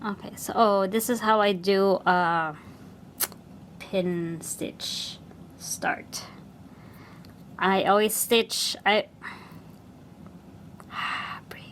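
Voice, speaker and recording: A woman with a Filipino accent talks slowly while she works, pausing often and thinking aloud. She chats like a cross-stitch vlogger doing a stitch-along at home, with an easy, unhurried pace. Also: mid-range voice, fairly even intonation, fairly bright tone, a little hiss or hum. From Philippines. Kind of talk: casual stitch-along chat.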